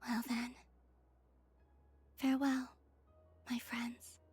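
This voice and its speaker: A woman playing a gentle, wistful young moon maiden in a game, with a soft, breathy, whispery voice. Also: fairly high pitch, monotone, drawn-out words, exaggerated voice. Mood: tender farewell. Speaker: game character voice actor. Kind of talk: scripted dramatic game dialogue. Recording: music behind the voice.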